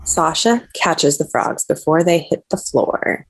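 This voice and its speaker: A woman narrates stage directions from a script. Her voice sits a little low. The delivery is scripted screenplay narration. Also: very animated, takes it slowly, vocal fry, slightly rough, low-quality recording.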